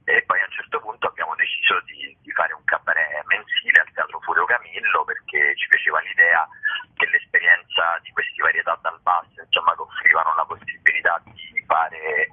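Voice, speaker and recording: A man speaking casually and haltingly as a guest in a radio interview. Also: sits low, flat delivery, slightly rough, dry recording. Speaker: cabaret collective member, interview guest. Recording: tinny, dry phone line.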